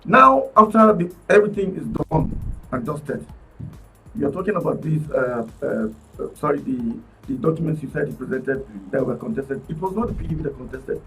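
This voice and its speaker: A man with a Nigerian accent, arguing politics on a radio talk panel in an animated, emphatic way, often restarting his words. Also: fairly high pitch, normal speed, distant mic, soft background music, rough recording. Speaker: political commentator guest. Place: radio studio.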